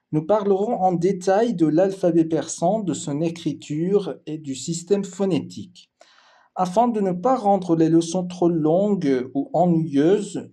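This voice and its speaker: Guy teaching a language lesson, slightly high voice, slow and careful. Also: mellow. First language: Persian. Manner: slow, careful, explanatory delivery with hesitation fillers.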